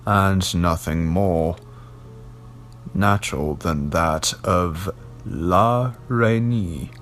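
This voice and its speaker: A man voicing a grave, learned gentleman in an audiobook, explaining in a measured, deliberate way. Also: sits low, exaggerated voice. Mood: explanatory, grim. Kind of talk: audiobook character dialogue.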